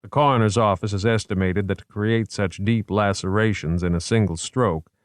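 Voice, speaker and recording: A man voicing a genteel, erudite male investigator in a measured, formal, wry manner, in an audiobook. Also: on the low side, no room sound, clean studio sound, natural voice. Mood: calm, wry.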